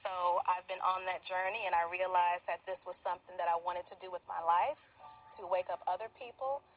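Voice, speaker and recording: A woman's voice: lowish voice, steady tone, fairly quick, soft background music. A woman giving earnest, reflective personal testimony as an activist interviewed on a shortwave radio broadcast. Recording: muffled, noisy shortwave radio capture. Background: radio static noise.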